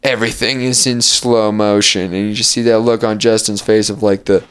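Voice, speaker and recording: A man's voice: lowish voice, steady tone, normal speed, smooth. A young man hosting a podcast, telling loose rambling stories with frequent laughs and casual swearing. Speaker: podcast host. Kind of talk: casual chat.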